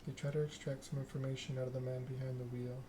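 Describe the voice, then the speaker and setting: A man streaming a game in soft ASMR style, reading story text aloud and chatting casually with viewers. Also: mid-range voice, flat delivery, fast talker, background noise. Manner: reads narrative text aloud, chats with viewers.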